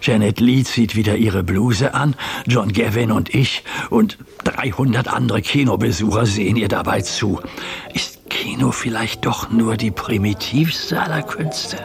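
An actor playing a wry, wistful male film lover with a creaky voice, musing drily in in a radio drama. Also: lowish voice, a little slow. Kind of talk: scripted radio drama monologue. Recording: music behind the voice.

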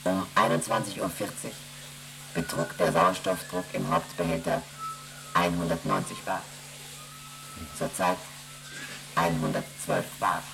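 A deep, gravelly ship computer. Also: male voice, unhurried, pauses often, over music, rough recording. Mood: flat reporting. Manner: flat, mechanical, reports numbers and rules. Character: emotionless onboard computer, male, reciting readings. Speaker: ship's computer. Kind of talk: radio play acting.

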